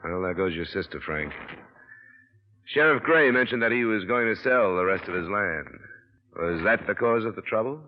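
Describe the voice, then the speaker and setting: An actor playing a cool, hardboiled insurance investigator who also narrates, in a clipped, matter-of-fact 1940s radio drama style. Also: male voice, lowish voice, expressive, moderate pace, a little hiss or hum, close to an everyday voice. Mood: probing. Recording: dull old radio broadcast with music behind.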